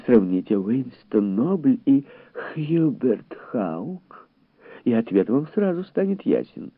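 A male reader plays a gossipy, shallow society lady in an audiobook. The pitch is pushed well up and swoops freely. Her talk is smug and exclamatory, on a narrow phone-like recording. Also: very animated, takes it slowly, husky, no room sound, heavily acted. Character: chattering, smug, shallow society woman, easily scandalized. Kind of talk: audiobook character voice.

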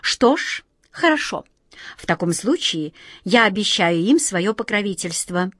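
A woman's voice: mid-range voice, expressive, unhurried, fairly bright tone, dry recording, clean studio sound. Woman as a haughty, imperious mouse queen. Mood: disdainful. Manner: majestic, commanding, affected courtly phrasing.

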